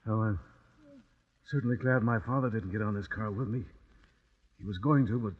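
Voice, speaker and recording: An actor playing a friendly, easygoing young son in an old radio drama. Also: male voice, on the low side, fairly quick, natural voice. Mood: casual, relieved. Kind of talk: 1940s radio drama acting. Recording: muffled old radio recording.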